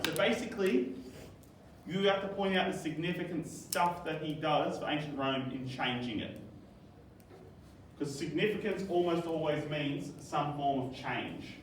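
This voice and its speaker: Aussie man teaching, slightly high voice, upbeat and jokey, echoey classroom from across the room. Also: distant mic, slight background noise. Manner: upbeat, jokey, quizzing students. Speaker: history teacher.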